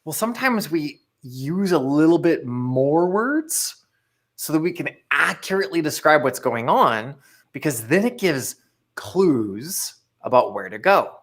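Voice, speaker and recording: Energetic man hosting a live stream. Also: a little high, unhurried. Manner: energetic, reads viewer chat aloud. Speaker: co-host of live motivational show. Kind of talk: casual live-stream chat.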